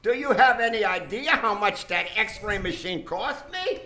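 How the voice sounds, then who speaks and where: An actor playing a villainous, exasperated mad doctor in a hammy, imperious way in a live radio drama. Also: male voice, high-pitched, lively intonation, measured pace, bright, forceful tone, heavily acted. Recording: echoey live stage recording with noise.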